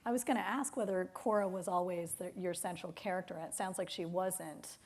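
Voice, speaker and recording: A woman's voice: fairly low pitch, fairly even intonation, a little fast. Woman interviewer, tentative and conversational. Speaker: interviewer, event moderator. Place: on stage in a theater.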